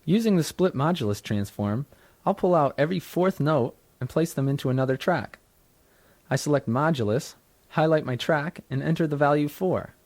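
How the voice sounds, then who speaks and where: A man reading scripted instructional narration as a software tutor, in a measured, explanatory manner. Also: a little high, no room sound. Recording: dry studio narration from a 1990s tutorial cassette.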